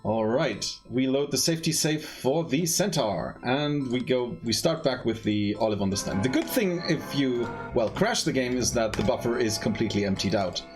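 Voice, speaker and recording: A man giving casual live speedrun commentary in a playful, halting way, often repeating words. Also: medium pitch, expressive. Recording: loud game music behind voice. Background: game music, keyboard typing.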